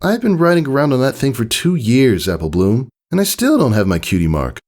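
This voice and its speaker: A man's voice: mid-range voice, sing-song, a little fast, a little mellow, voice pushed up. A man voicing an earnest, determined young pegasus filly in a storybook reading, with youthful phrasing. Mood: discouraged. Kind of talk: storybook character voice.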